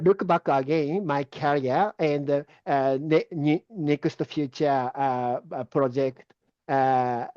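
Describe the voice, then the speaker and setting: Man with japanese accent, slow, hesitant, many fillers, dull dry call audio. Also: medium pitch, darkish tone, no room sound. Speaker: guest. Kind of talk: halting interview answers.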